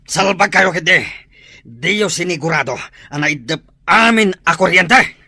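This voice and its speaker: A man's voice: high-pitched, animated, measured pace, pushed and bright, husky, no room sound, full character voice. An actor playing a bossy bandit leader in a radio play, barking orders and scolding his gang.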